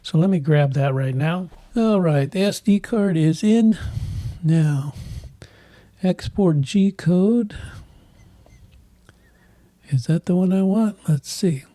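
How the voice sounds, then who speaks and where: A man hosting a tech channel, thinking aloud in halting bits while he clicks through software, with a voice that is giving out. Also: high voice, animated, no room sound. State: losing his voice. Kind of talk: casual screen walkthrough.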